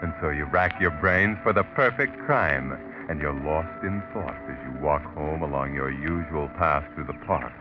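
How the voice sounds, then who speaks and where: Casting: sinister 1940s radio mystery narrator, deep voice, sardonic, needling, insinuating, over music. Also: male voice, lo-fi sound. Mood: ominous, taunting. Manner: taunting, insinuating, deliberate rhetorical questions. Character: sardonic, ominous male narrator taunting a would-be murderer.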